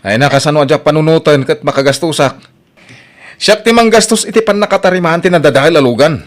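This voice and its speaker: A man's voice: fairly high pitch, lively intonation, quick pace, natural voice. A male actor playing a troubled, self-pitying husband who drinks, in an Ilocano radio drama, speaking with an Ilocano accent. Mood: exasperated.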